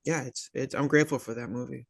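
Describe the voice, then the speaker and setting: A very dry, close-sounding man with a mid-pitched voice, speaking as a guest in a casual interview. He rambles in a low-key, slangy way, feeling his way through each thought. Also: fairly even intonation, quick pace, vocal fry, dry recording. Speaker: interview guest, actor and filmmaker. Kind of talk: casual chat.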